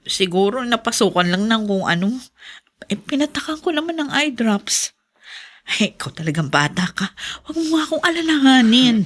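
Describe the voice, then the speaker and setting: A self-effacing Filipino mother in a radio drama. Her voice is fairly high, and she speaks gently and hesitantly, as if hiding her worries. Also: very animated, moderate pace. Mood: dismissive. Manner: gentle, hesitant, brushing off concern. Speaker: actress in a drama.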